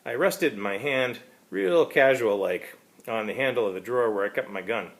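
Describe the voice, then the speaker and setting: A man giving an amateur reading of a first-person story, narrating in a halting, wry way. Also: medium pitch. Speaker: author reading his own story.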